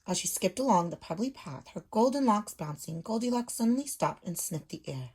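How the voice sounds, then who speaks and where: A woman's voice: mid-range voice, lively intonation, fairly quick, a little mellow, breathy edge. A woman in her thirties speaking as a teacher, explaining step by step and reading a storybook aloud to children at home. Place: small room at home.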